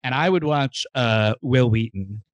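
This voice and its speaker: A man's voice: mid-range voice, unhurried, no echo. A man in his forties, co-hosting a casual chat and telling stories with a joking manner. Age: 40s. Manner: joking, animated storyteller. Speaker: podcast co-host.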